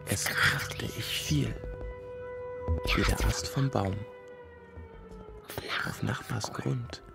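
An actor playing an eerie, dreamy runaway son in a radio drama, whispering in gravelly fragments. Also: mid-range voice, very slow, over music, exaggerated voice. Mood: eerie, whispered.

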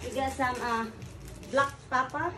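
A woman's voice: high-pitched, steady tone, a little slow, over music. A woman in her forties with a Filipino accent, chatting casually as a vlogger, calling her viewers "guys" all the time. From Philippines. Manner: chatty, says "guys" constantly, simple loose phrasing. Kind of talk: casual haul vlog. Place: small pink-walled room indoors. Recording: echoey room, distant mic, noisy.

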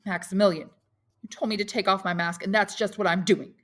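A woman voicing a calm, resolute older Count from a storybook, speaking in a measured, firm, authoritative manner. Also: medium pitch, natural voice. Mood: calm firmness.

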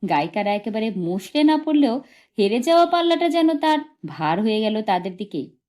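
Expressive woman reading a story in mellow tones. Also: a little high, a little fast. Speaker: audiobook reader. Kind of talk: scripted literary narration, expressive storytelling.

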